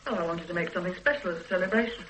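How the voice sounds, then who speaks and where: An actress playing a sharp-tongued, sarcastic housewife in a radio drama. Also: female voice, on the low side, very fast, a little hiss or hum, lightly acted. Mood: hopeful. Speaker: detective's wife. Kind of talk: 1980s radio drama acting.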